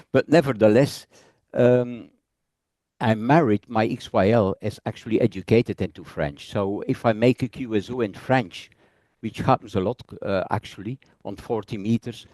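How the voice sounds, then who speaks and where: A man's voice: on the low side, unhurried, a little mellow, no echo. A Belgian man with a Flemish accent, a native Dutch speaker, giving a friendly presentation in English. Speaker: guest presenter. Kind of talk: presentation over video call.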